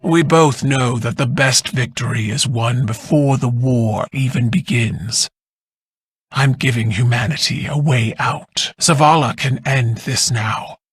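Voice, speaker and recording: An actor playing a proud, imperious alien war leader in a game, with a husky voice and haughty, deliberate delivery. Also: male voice, mid-range voice, no echo. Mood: haughty, persuasive. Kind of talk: scripted game voice acting.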